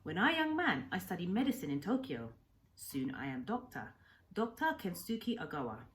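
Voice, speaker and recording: A woman voicing a kindly old Japanese castaway doctor in a storybook, speaking earnestly in short, halting, simplified English sentences. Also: fairly low pitch, sing-song. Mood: solemn, proud.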